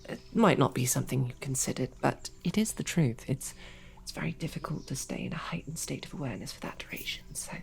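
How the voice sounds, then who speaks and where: A woman's voice: very deep voice, quick pace, creaky, darkish tone, in a whisper. A woman voicing a captive young woman knight who talks in a dry, formal, wryly understated way, in an audio roleplay drama. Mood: dry, sardonic. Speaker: voice actor.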